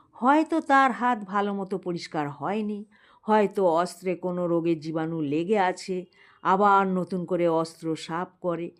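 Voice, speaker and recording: A woman reading a humorous folk story aloud in a measured, deliberate, expressive way. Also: on the low side.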